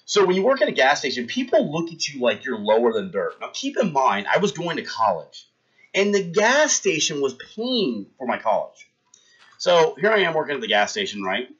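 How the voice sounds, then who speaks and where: A man's voice: mid-range voice, wildly swooping pitch, normal speed, slightly rough, lo-fi sound. Young man rambling through a story. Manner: rambling anecdote, talks to chat, shouts out followers. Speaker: livestream host. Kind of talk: casual storytelling on a livestream.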